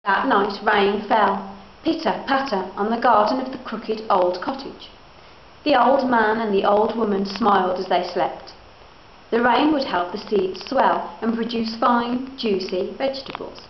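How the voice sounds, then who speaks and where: A woman's voice: medium pitch, a little slow. A young woman reading a children's picture book aloud as a student narrator. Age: young adult. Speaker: student reader. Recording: echoey, muffled room, faint background noise.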